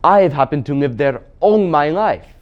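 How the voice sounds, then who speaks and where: A man voicing a stubborn, earnest, plain-spoken marsh creature with a halting, dogged delivery. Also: a little high, lively intonation, muffled recording, voice pushed up, natural voice. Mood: earnest, insistent.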